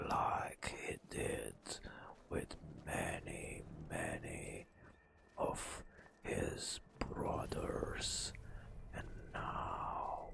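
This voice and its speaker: A man softly narrating a fantasy game as ASMR, in a breathy whisper with slow, dramatic storytelling and long pauses. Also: very deep voice.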